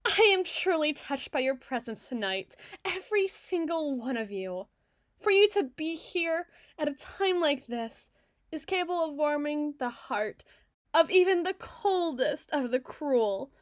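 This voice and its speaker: A woman voicing a regal, icy evil queen in a scripted ASMR roleplay, speaking in formal, theatrical oratory with deliberate emphasis. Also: high-pitched, very animated, no room sound, studio quality. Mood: gracious, regal.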